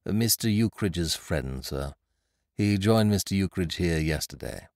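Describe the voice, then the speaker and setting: A man's voice: sits low, expressive, a little slow, no echo, studio quality. A man voicing a deferential middle-aged male butler who speaks formally and politely.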